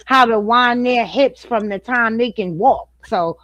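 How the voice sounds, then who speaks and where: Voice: medium pitch, a little slow, bright, forceful tone, no echo. A panelist pushing back assertively in a casual debate. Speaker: podcast panelist.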